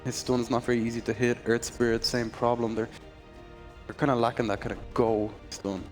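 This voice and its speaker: A man's voice: mid-range voice, flat delivery, fairly quick, soft background music. A man with an Irish accent commentating an esports draft in a chatty, joking way, sometimes tripping over his words. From Ireland. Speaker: esports caster.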